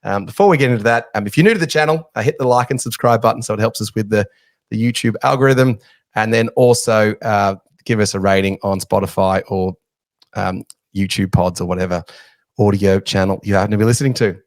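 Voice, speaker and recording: A man's voice: on the low side, brisk, no echo. Chatty male podcast host. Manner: chatty, jokey, self-deprecating. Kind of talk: casual sports podcast chat.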